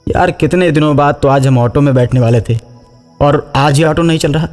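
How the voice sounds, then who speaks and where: A man playing a chatty, teasing young auto passenger in a cartoon story, animated, joking and complaining. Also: on the high side, moderate pace, long reverb tail, soft background music, close to an everyday voice. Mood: annoyed.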